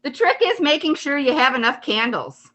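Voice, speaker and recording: A woman's voice: high-pitched, lively intonation, moderate pace, pushed and bright. A woman in amateur reader's theater, playing a smug, showy holiday that boasts of its popularity in a declarative way. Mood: smug boasting.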